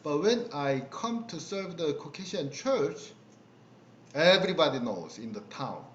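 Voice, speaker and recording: Korean-accented pastor on a roomy call. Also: male voice, fairly high pitch, big pitch swings, a little hiss or hum. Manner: halting, many fillers, laughs while telling stories. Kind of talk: casual conversational storytelling. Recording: roomy, dull video-call audio.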